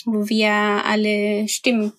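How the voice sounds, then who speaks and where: A woman's voice: medium pitch, completely flat delivery, takes it slowly. A woman from Chile, speaking as a guest in simple, emphatic phrases.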